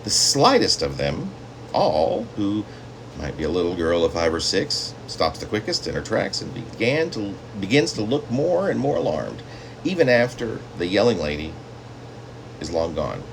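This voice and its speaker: A man reading his own literary story as a poet, with theatrical, drawn-out phrasing and dramatic emphasis. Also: sits low, huge pitch swings, creaky, slight background noise, low-quality recording.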